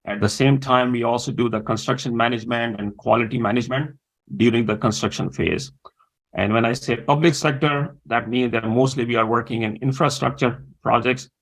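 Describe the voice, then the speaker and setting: Halting man, gravelly voice. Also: mid-range voice, fairly bright tone, poor audio. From Pakistan. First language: Urdu. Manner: halting, many pauses and fillers. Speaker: guest. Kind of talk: interview conversation.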